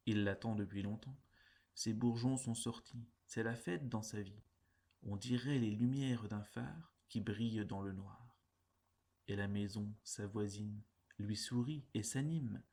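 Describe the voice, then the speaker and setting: A man reciting poems in a measured, deliberate way, phrase by phrase. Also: on the low side, darkish tone. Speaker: poetry reader. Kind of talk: poetry recitation.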